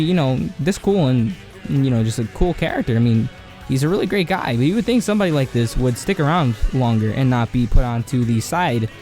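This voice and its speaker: Hoarse, rambling young-sounding man. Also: medium pitch, a little mellow. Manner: rambling and slangy, heavy on filler words. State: hoarse, voice cracking. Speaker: video essay host. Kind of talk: casual anime commentary monologue.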